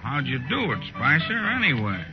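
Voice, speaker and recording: A dull-sounding vintage radio western with faint music underneath. a prying, stubborn American frontier stranger, folksy in his talk, his voice swinging widely in pitch. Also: huge pitch swings, normal speed. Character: nosy, stubborn Old West saloon stranger, needling. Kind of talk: old-time radio western acting. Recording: muffled old radio recording, faint music.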